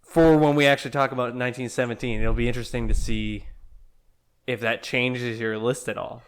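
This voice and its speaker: A man co-hosting a podcast in casual chat, relaxed and teasing, halting a little as he brings up news. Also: mid-range voice, smooth. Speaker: podcast co-host.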